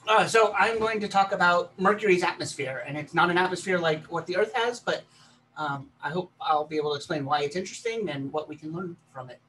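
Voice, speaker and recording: A man's voice: sits high, fairly quick, sharp tone. A man from Texas, a planetary scientist, giving a talk over a video call, with many ums and restarts. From Texas, USA. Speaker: guest presenter, planetary scientist. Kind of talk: casual chat then slide presentation. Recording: distant mic, faint background noise.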